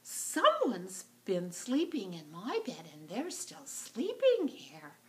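A woman's voice: mid-range voice, wildly swooping pitch, takes it slowly, a bit bright, full character voice. A woman voicing a small, plaintive baby bear with a childlike whine in a children's storybook.

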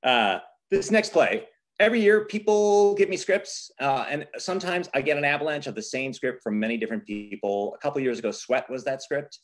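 A man's voice: mid-range voice, expressive, fairly quick. A man hosting an informal live presentation on a video call as a theater director, speaking with effusive enthusiasm. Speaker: theater artistic director, host.

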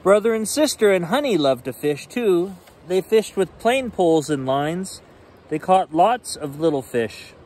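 A man in his forties reading a children's picture book aloud expressively, in simple, deliberate phrasing. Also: high-pitched, lively intonation, darkish tone. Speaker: storyteller reading children's bedtime stories. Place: outdoors on a rainy forested shore. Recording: outdoor ambient noise.

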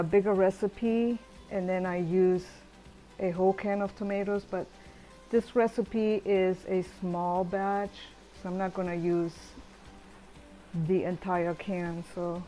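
A middle-aged woman calmly talking through her cooking steps in a chatty home tutorial voice-over. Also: mid-range voice. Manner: relaxed, chatty step-by-step explaining. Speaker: home cook.

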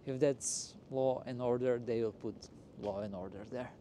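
A man hosting a walking tour in a casual, unscripted way, speaking in halting, broken phrases outdoors on a city street. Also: mid-range voice, fairly even intonation, dark tone. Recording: noisy outdoor street with traffic. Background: street ambience and passing vehicles.

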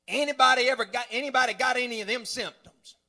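A middle-aged man preaching a sermon in a folksy, rambling way, full of hallelujahs and rising to shouts. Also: very high-pitched, fast. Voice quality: folksy, rambling, repeats words. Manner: building to shouts, frequent hallelujahs.

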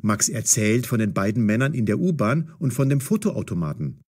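A man reads a story aloud with lively, varied pitch. His voice has a husky edge, and he phrases each sentence deliberately, as a scripted audiobook narrator does.

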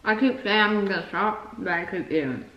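A woman's voice: medium pitch, normal speed, dull audio. Chatty young woman in a roomy kitchen. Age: young adult. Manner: chatty, rambling, self-deprecating with lots of "like" fillers. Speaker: vlogger. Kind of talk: casual food vlog chat. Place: home kitchen, indoors. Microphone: camera on the counter, some distance away. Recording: roomy, distant camera mic with hiss.

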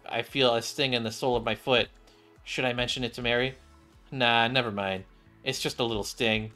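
A man's voice: medium pitch, fairly bright tone. A man in his thirties casually reading visual novel dialogue aloud as he streams. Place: indoor room at a gaming setup. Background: game music, keyboard clicks.

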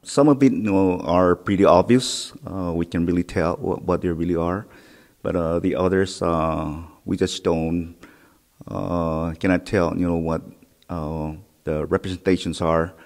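Low-voiced middle-aged male guide, hesitant with lots of "uh", echoing cave. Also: steady tone, darkish tone. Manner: halting, many "uh" fillers. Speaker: historic preservation officer. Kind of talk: on-site interview. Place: inside a rock cave. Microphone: handheld mic held close. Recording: long cave reverb.

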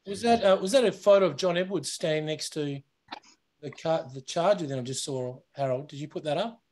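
A man's voice: fairly high pitch, brisk, a little mellow, slight background noise. A man in casual banter on a panel, joking and full of questions. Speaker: podcast panelist.

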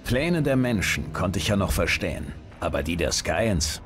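A man voicing a gruff, laconic commander and steady hero in a sci-fi video game. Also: sits low, moderate pace, faint music behind. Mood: dry. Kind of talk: video game dialogue acting.

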